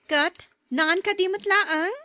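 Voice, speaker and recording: A woman's voice: very high-pitched, a little slow, pushed and bright, no room sound, heavily acted. A woman with an Ilocano Filipino accent playing a busy working young mother in a conversational audio drama. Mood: friendly.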